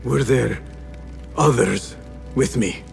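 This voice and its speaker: An actor playing a grim, vengeful young assassin with intense, formal delivery in a game cutscene. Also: male voice, mid-range voice, slow, vocal fry, soft background music. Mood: worried, urgent. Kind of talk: dramatic video game cutscene acting.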